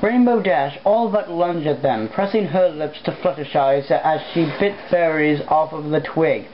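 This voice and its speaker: Casual amateur story reader, irreverent and foul-mouthed about mistakes, middling pitch, muffled homemade recording with some noise. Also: a little slow. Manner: irreverent, swears at own slip-ups. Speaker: amateur fan fiction reader. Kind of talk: casual read-aloud with side remarks. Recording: dull lo-fi home recording, background noise.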